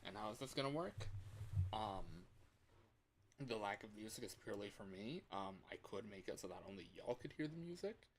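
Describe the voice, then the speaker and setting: A man chatting casually to livestream viewers in an excitable, scattered, playful way, with frequent exclamations. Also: on the low side, normal speed, slight background noise, poor audio. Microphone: external microphone.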